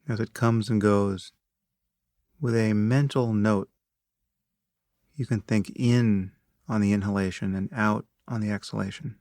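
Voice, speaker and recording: A man guides a meditation in a low, creaky voice. He gives calm instructions very slowly, leaving long pauses between measured phrases. The sound is close and dry. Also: no echo.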